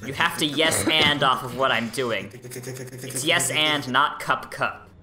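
A man's voice: mid-range voice, takes it slowly, over music, natural voice. A man improvising a comedic game dub as a sulky, rambling middle-aged scientist who wavers toward villainy. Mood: chummy. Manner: rambling, exclamatory, self-mocking.

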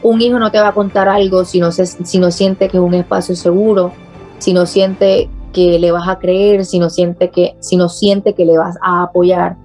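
A woman's voice: lowish voice, level tone, normal speed, darkish tone, soft background music. A woman in her thirties with a Puerto Rican accent, an interview guest speaking earnestly on a talk show. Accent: Puerto Rican Spanish. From Puerto Rico. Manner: earnest, speaks with emphasis and repetition. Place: small studio office set.

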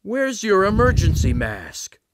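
A man playing an angry, fat ship captain with booming, commanding shouts in an audiobook. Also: wildly swooping pitch, a little slow, background noise, heavily acted. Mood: angry demand.

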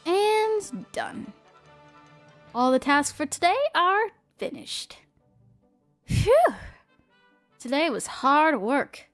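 A woman playing a helpful, spirited young female pirate detective in an audio drama, with a breezy, expressive delivery. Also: high-pitched, drawn-out words, long pauses, no echo, heavily acted. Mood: cheerful. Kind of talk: fan audio drama acting. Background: music.

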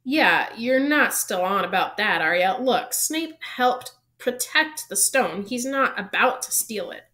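A big, bearded gamekeeper from a storybook, voiced by a woman reader. He is friendly and proud, open to flattery, and talks in a blunt, homely, informal way. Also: fairly high pitch, a little slow. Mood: chatty, flattered. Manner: folksy, informal, blurting. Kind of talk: audiobook character reading.

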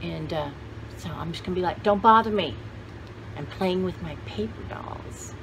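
A woman's voice: lowish voice, moderate pace, vocal fry, a bit bright, slight background noise, low-quality recording. A woman in her sixties chatting casually to the camera in a rambling, folksy, exclamatory way, often talking to herself and her viewers. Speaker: vlogger showing vintage finds. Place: cluttered indoor room with shelves.

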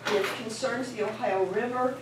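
A woman's voice: fairly high pitch, moderate pace. Older woman from Northern Kentucky, friendly and rambling, distant in an echoey hall with room noise. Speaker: first-time attendee. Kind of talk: informal remarks at a meeting. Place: large meeting hall indoors. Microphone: handheld mic, recorded from far camera.

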